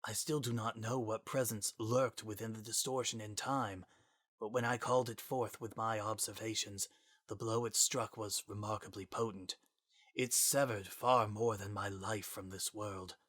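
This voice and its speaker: A man performs a solemn scientist lost to the world, in a low, quiet voice. His delivery is formal and measured, heavy with regret and resignation, as in a dramatic audiobook. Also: steady tone. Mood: grave, regretful.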